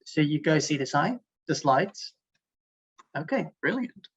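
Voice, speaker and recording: A man in his early twenties from Hong Kong gives an informal, hesitant student presentation on an online call, with frequent filled pauses. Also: a little high, expressive, husky. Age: early 20s. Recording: dull lo-fi online call audio.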